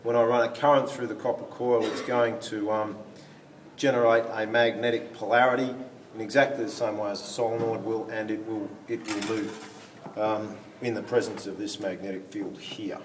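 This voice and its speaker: A man explains a physics demonstration step by step as a teacher, haltingly thinking aloud while he handles equipment. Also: fairly low pitch, level tone. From Australia. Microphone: phone camera some way off. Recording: distant phone recording, background hiss.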